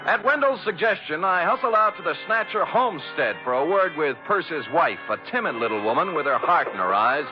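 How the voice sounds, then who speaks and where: A hard-boiled radio detective with a fairly high, swooping delivery. He tells his caper with dry wit and florid flourishes, over prominent music on a dulled vintage broadcast recording. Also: a little fast, few pauses. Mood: wry narration. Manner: wry, deadpan, florid storytelling. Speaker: lead actor and narrator. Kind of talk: 1940s radio detective acting and narration. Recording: muffled old radio recording, music behind.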